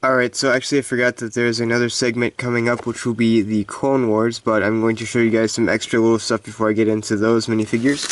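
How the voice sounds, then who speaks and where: Congested guy doing a rambly show and tell, pretty monotone, some noise behind him. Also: mid-range voice. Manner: halting, unscripted, rambling. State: stuffed-up, congested voice. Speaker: hobby collector hosting a video. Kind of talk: casual show-and-tell narration.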